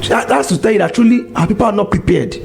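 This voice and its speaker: A man's voice: sits high, fast talker, darkish tone, a little hiss or hum. A man, preaching a monologue full of exhortations and rhetorical questions. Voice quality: gravelly. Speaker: religious commentator.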